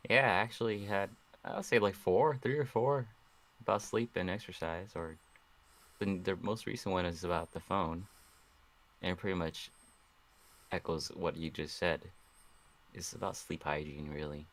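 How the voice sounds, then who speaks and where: A man's voice: low voice, fairly even intonation, moderate pace, long pauses. A man who makes fitness videos, chatting modestly and a little hesitantly on a livestream. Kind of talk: casual livestream chat.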